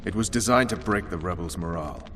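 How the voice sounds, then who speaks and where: A cynical military interrogator acted by a man talks low, with blunt, sardonic authority. The fantasy game voice rings in a long reverb, with clear noise around it. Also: fast talker, lightly acted. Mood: sardonic, stern. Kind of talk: dramatic fantasy video game acting.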